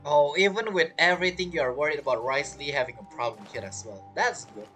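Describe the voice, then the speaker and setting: A man's voice: on the high side, fast talker, bright, forceful tone, faint music behind. A man giving chatty, casual playthrough commentary, reacting to the game and reading its text aloud. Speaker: game streamer.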